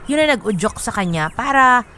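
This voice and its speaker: A woman's voice: fairly high pitch, expressive, moderate pace, bright, forceful tone, slight background noise. A woman with a Filipino accent co-hosting a show in casual, bantering chat, teasing and jokey as she chimes in. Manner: teasing, jokey, with frequent agreeing interjections. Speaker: radio show co-host.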